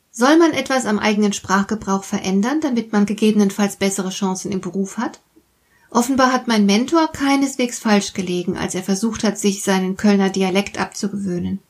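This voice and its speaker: A woman hosting a podcast, reading a scripted monologue in a calm, explaining way and speaking directly to the listener. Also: mid-range voice, breathy edge. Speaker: podcast host.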